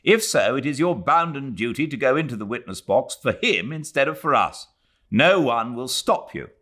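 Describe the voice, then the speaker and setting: Pompous colonial official played by a male reader, storybook; English, emphatic, scolding, animated pitch. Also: normal speed. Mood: officious, scolding.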